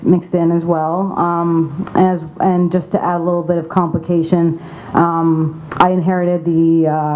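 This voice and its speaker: A woman's voice: low-pitched, slight background noise. A young adult woman from Saudi Arabia giving a candid, informal conference speech as an advocacy representative. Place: conference venue. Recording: tinny phone-like sound.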